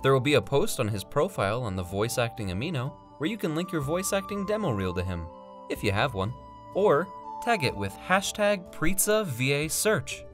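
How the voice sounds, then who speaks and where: A man's voice: medium pitch, very animated, moderate pace, over music, natural voice. A man voicing a friendly storyteller mage who addresses viewers in an upbeat, inviting promotional outro.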